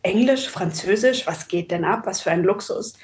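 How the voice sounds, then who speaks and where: A woman's voice: medium pitch, moderate pace. A woman speaking as an author being interviewed, chatty and reflective, articulate, with the odd English word mixed in. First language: German. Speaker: author and copywriter, interview guest. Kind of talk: casual, reflective interview conversation.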